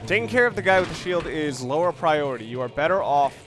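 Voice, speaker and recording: Higher-pitched man doing casual game commentary. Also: lively intonation, quick pace. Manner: rambling, thinks aloud, explains tactics. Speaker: let's play host. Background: game music and sounds.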